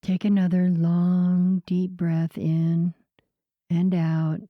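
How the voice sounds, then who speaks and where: A woman guiding a sleep meditation in a soothing, unhurried way, with a soft voice that turns breathy at the ends of phrases. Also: on the low side, completely flat delivery, no echo, lo-fi sound. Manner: soothing, unhurried, gentle pauses. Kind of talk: slow guided sleep meditation narration.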